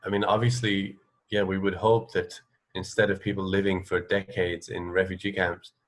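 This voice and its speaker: Low-voiced man hosting a casual interview. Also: little pitch movement, normal speed. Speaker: radio interviewer.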